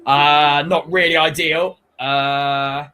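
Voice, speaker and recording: Bloke on a stream, bright voice, rambly and laid back, lots of ums. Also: male voice, a little high, fairly even intonation, slow, smooth. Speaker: streamer hosting a watchalong. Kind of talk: casual chat with loose match commentary.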